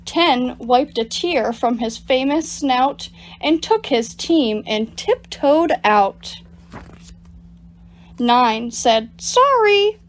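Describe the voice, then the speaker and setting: A woman reading picture books aloud to children as a storytime reader, in an animated, deliberate storytelling manner. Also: a little high. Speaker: storytime reader at a public library. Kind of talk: expressive picture-book read-aloud for children.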